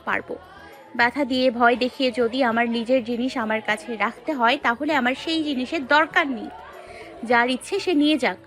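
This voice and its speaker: A woman's voice: fairly high pitch, steady tone, brisk, fairly bright tone, faint music behind, lightly acted. A woman playing a hurt, stubborn, very young wife who answers curtly and indignantly in an audio story drama. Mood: defiant. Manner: curt, indignant, defensive.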